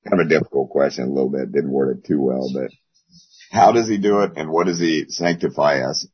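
A hoarse man losing his voice, teaching a Bible class in a rambling way with many pauses. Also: very deep voice. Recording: dull lo-fi audio.

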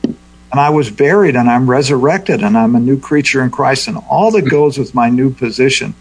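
A man leading a group Bible discussion, teaching in a thoughtful, unhurried way. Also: medium pitch, slightly rough, rough recording. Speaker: Bible study leader.

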